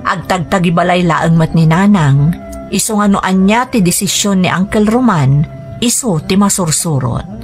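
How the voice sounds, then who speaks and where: A woman's voice: fairly low pitch, animated, moderate pace, lightly acted. A woman with an Ilocano accent playing an apologetic, submissive daughter in a radio drama, with music underneath. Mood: sad, reflective.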